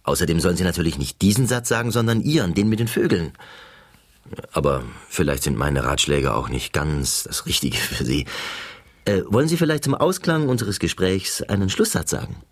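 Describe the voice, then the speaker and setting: An eccentric male interviewer with a low, husky voice that swings widely in pitch. He speaks earnestly and pedantically, in long wordy turns, as in a satirical radio play. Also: very animated, normal speed. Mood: insistent, polite. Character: chatty eccentric man coining silly sayings as interviewer. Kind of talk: scripted satirical dialogue.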